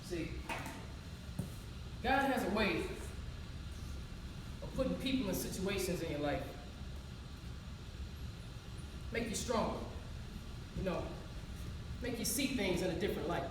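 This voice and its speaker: A woman's voice: medium pitch, lively intonation, moderate pace, pauses often, natural voice. A woman playing a wounded yet faithful grieving woman in an emotional, colloquial theatrical monologue. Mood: resolved, hopeful. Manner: emotional, colloquial, emphatic, pleading. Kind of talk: theatrical one-act stage monologue. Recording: roomy echo, distant mic, loud noise.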